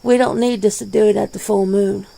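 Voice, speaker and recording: A woman voicing a laid-back, plain-spoken werewolf in an ASMR interview roleplay, talking in an unhurried, folksy, casual way. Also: medium pitch, fairly even intonation, vocal fry, warm tone, poor audio. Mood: casual, candid.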